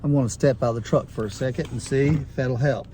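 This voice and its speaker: A man's voice: medium pitch, fast. A man talking casually to camera in a plain-spoken, folksy way, as host of a field test. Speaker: host of a gear review video. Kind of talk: casual chat to camera while field testing walkie-talkies. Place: outdoors and inside a truck. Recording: noisy outdoor and in-truck recording. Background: vehicle and outdoor noise.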